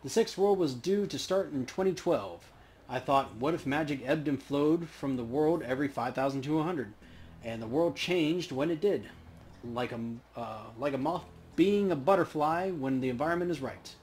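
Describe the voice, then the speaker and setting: A man's voice: on the high side, moderate pace, darkish tone, slight background noise, natural voice. A man playing the ghost of an old veteran storyteller in a video game, rambling and wry. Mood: reminiscing.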